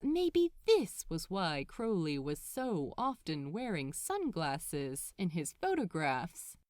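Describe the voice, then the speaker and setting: Expressive, unhurried woman narrating fiction. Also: on the low side, dry recording, clean studio sound. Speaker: podfic narrator.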